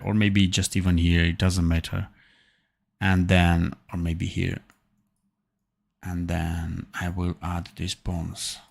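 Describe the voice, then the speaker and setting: Low gravelly man from Armenia, slow halting livestream tutorial, keyboard clicking. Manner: thinking aloud, halting with fillers and self-corrections. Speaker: animation tutor. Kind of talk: live-streamed software tutorial.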